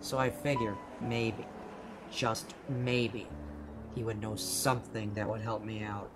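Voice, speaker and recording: A man's voice: fairly low pitch, level tone, slow, noisy background. A man playing a confused, wary young storyteller in an amateur radio play, hesitant and plain-spoken. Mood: wary, reflective. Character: confused, wary young male storyteller stranded somewhere strange.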